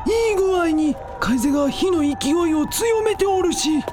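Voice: extremely high pitch, animated, a little slow, over music. A performer in a radio drama voicing a worried, folksy elderly village man with fretful old-fashioned speech. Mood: weary, worried. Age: older.

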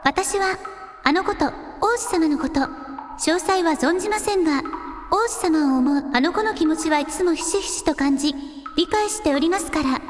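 Woman as a young shrine maiden, very high pitch, courteous, devoted, formal phrasing, music behind. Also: moderate pace. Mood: polite, composed. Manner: very polite, formal speech. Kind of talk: synthetic radio drama voice. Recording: long hall reverb with music.

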